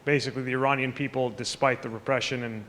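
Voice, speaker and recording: A man moderates a conference panel and strings his questions together loosely. His pitch stays flat and even. The room adds a long echo and a faint hiss. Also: quick pace. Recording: echoey hall with faint noise.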